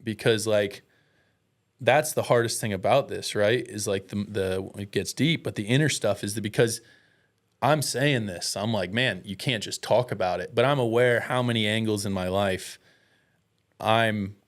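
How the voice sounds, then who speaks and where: A man's voice: on the low side, moderate pace. An American man talking as a guest in a casual, candid way, telling personal stories with earnest, self-deprecating, slangy speech. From United States.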